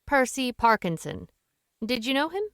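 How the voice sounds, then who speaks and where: A woman playing a calm, shrewd, wry woman asking questions, in an amateur radio play. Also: a little high, moderate pace, no room sound, studio quality. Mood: dry.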